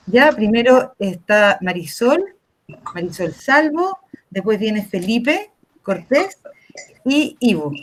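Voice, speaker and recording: A woman's voice: a little high, very animated, slight background noise, rough recording. A woman with a Chilean accent moderating an online panel, talking casually with many fillers and restarts. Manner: casual, filler-heavy, fast with pauses. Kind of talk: meeting introduction.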